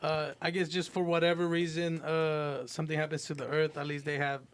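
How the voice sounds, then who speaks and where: A man's voice: a little high, level tone, fairly quick. A man co-hosting a podcast, chatting casually and using slang. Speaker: podcast co-host. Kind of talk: casual group chat with slang and profanity. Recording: clearly noisy, with clicks.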